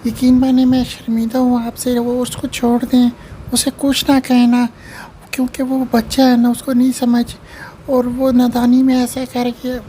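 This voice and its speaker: A woman's voice: fairly high pitch, monotone, a little slow, warm tone, slight background noise, close to an everyday voice. A woman voicing an ashamed, contrite mother who pleads in an amateur cartoon. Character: ashamed mother begging forgiveness for her violent son. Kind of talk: amateur cartoon voice acting in Urdu.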